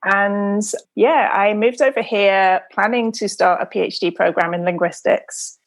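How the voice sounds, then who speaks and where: A middle-aged British woman speaks in a casual, conversational way as an interview guest. Her accent has drifted toward American, and her medium-pitched voice carries a noticeable creak. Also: fairly even intonation, a little slow. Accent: British softened by years in America. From United Kingdom. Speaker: interview guest, photographer and potter.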